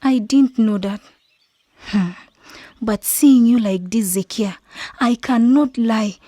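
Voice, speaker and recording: A woman playing a gentle, anxious young village woman and caring friend in a radio drama, speaking softly and earnestly. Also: on the high side, takes it slowly, dry recording. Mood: troubled, afraid. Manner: soft, earnest.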